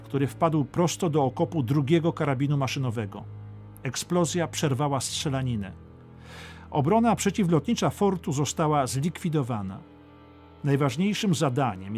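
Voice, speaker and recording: A man's voice: a little high, a little mellow. A man reading a scripted, dramatic history audiobook as narrator. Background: music.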